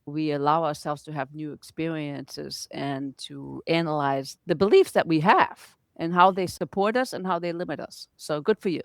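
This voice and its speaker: A woman's voice: low-pitched, normal speed, few pauses, no echo. A woman hosting an interview podcast, English not her first language, chatting in an encouraging way. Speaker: podcast host. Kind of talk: relaxed interview chat.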